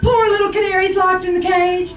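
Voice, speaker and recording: A woman's voice: extremely high pitch, steady tone, fairly quick. A woman playing a nagging, romantic, chatty wife in a radio comedy sketch, needling and plaintive. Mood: fretful, wheedling. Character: nagging, romantic, chatty wife who won't let husband sleep. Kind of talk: old-time radio comedy sketch acting. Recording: echoey live room, distant mic, faint noise.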